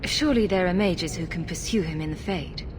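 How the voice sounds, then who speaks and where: A woman playing a calm, diplomatic hero in a fantasy video game, earnest and reasoning. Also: on the low side, brisk, lightly acted. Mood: concerned, curious. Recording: noisy game cutscene capture.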